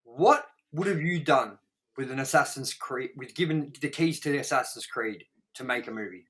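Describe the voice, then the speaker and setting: Bright-voiced, emphatic man chatting. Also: medium pitch, normal speed. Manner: emphatic, sweary, opinionated. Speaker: review show co-host. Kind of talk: casual movie review chat.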